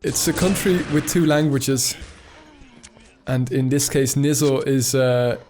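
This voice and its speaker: A man's voice: medium pitch, takes it slowly, over music. A man who speaks Dutch as his first language, casting a competitive shooter match in a chatty, laughing way with many fillers. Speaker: esports caster. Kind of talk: live game commentary.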